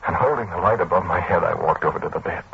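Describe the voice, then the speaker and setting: An actor playing a haunted, earnest man who recounts a dark tale in old-time radio style, with dramatic, broken phrasing. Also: low voice, fast, gravelly. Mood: grave, shaken. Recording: muffled, hissy old radio recording.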